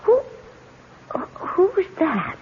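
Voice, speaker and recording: An actress with an American accent playing a young, impulsive, gushing newlywed in a breathless, halting way in a radio drama. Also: female voice. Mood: curious, uneasy. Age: young adult. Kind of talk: 1970s radio drama acting. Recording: tinny, noisy 1970s radio recording.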